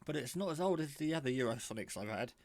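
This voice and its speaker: Man, low-voiced, casual and rambling. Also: lively intonation, fast, darkish tone, dry recording. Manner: rambling, informal. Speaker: radio hobbyist showing a handset. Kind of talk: casual product show-and-tell.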